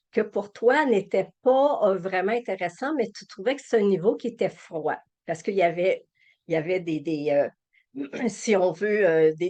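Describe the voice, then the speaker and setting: A woman's voice: medium pitch, darkish tone. A woman hosting an interview, asking long, hesitant questions with fillers and restarts. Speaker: interview host. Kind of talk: warm conversational interviewing.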